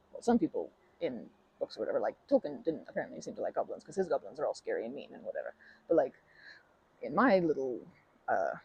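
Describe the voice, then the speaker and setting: A woman acts out a shy, kind young woman in an ASMR comfort roleplay. Her voice is very breathy and mellow with some creak, and she stammers, rambles and puts herself down in a flustered, nervous way. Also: low-pitched, exaggerated voice, poor audio. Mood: rambling, playful. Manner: stammering, self-deprecating, rambling with nervous giggles.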